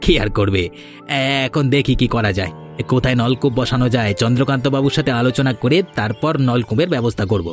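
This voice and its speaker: A man's voice: medium pitch, fast, soft background music, natural voice. A man voicing a chatty, slightly defensive village man in a cartoon. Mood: sheepish, defensive. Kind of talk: Bengali cartoon voice acting.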